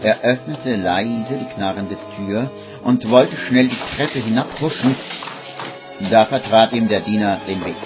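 Man as grave storyteller in old radio play, low voice, suspenseful, muffled recording with music under it. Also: unhurried, natural voice. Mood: hushed suspense. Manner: dramatic, suspenseful. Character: grave male narrator of a dark tale. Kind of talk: scripted radio drama narration, 1950s.

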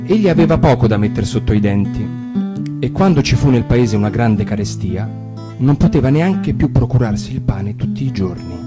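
A man's voice: mid-range voice, normal speed. A male actor narrating a fairy tale expressively for radio. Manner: warm storytelling with lively emphasis. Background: guitar music.

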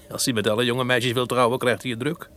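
A man's voice: mid-range voice, fairly even intonation, a little fast, a bit bright, close to an everyday voice. An actor playing a talkative, sly, nervous soldier who boasts and stumbles over his words in a gothic radio play. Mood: chatty, boastful. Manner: chatty, boastful, stammering over slips. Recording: noisy old radio recording.

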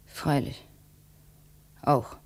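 An actress playing a bitter, defiant slave woman with a slightly husky voice and curt manner in a 1950s German radio drama. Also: very slow. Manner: challenging, curt.

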